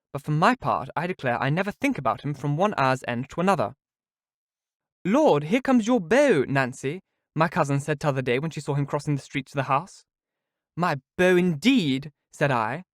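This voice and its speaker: A man's voice: fairly high pitch, no room sound, studio quality, voice pushed up. A man reading aloud voices a vain, giddy young woman who simpers and protests coyly.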